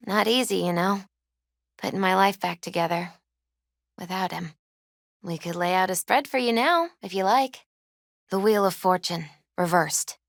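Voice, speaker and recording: Game casting: soft-spoken young female fortune-teller, lowish voice, earnest and grieving, measured mystical delivery, close dry sound. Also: no echo. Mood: wistful, reminiscing. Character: gentle, spiritual young woman tarot reader, grieving and earnest. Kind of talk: video game character dialogue and tarot readings.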